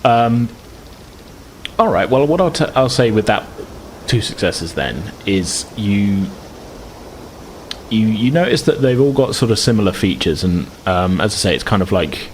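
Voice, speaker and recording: A man's voice: lowish voice, animated, unhurried, a little hiss or hum, low-quality recording. A man improvising narration as a game master, explaining things in a hesitant, rambling way.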